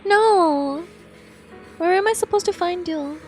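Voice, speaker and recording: A woman's voice: very high voice, takes it slowly, music in the background. A woman streaming her reactions to a game story and reading dialogue aloud, emotive, exclamatory and sympathetic.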